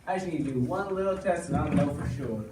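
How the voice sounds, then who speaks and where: A man's voice: fairly high pitch, quick pace, close to an everyday voice. A man playing a sneaky cowboy, distant and noisy. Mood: sly, secretive. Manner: conspiratorial asides to the audience. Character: sneaky, suspicious cowboy in western melodrama. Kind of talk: theatrical stage melodrama acting. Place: indoor small school stage before an audience. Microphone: far camera. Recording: distant echoey stage, loud room noise.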